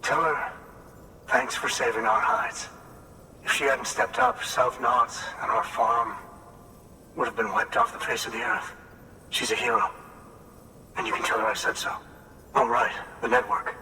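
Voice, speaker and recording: In a long reverb with faint noise, a game's farm leader with a very bright, forceful voice. He sounds earnest, folksy and full of hope. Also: mid-range voice, unhurried, long pauses. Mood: friendly, earnest. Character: hardworking male farm leader, grateful, earnest, hopeful. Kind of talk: video game acting.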